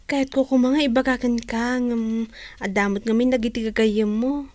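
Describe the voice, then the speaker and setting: A woman's voice: fairly high pitch, fairly even intonation, moderate pace, darkish tone, no echo, close to an everyday voice. A woman acting a caring, generous young student and loyal friend in a radio drama. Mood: concerned.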